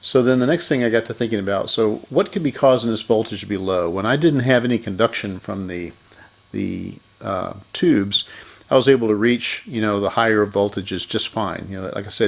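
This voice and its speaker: A man's voice: on the low side, creaky. A man giving unscripted hands-on repair commentary, thinking aloud methodically and correcting himself as he goes. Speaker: electronics repair host.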